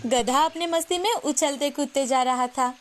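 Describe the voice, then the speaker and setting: Female narrator for children's moral stories, high voice, clear music bed. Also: moderate pace. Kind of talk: scripted storytelling narration of children's moral tales.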